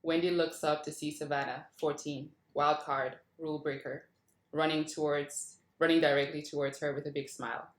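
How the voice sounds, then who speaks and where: A woman's voice: deep voice, level tone, normal speed. A woman hosting a table read and reading stage directions from a script. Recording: roomy, echoey sound.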